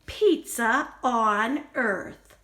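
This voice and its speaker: A woman reading a children's picture book aloud as a storyteller, expressive, deliberate and emphatic. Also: on the high side. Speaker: librarian storyteller.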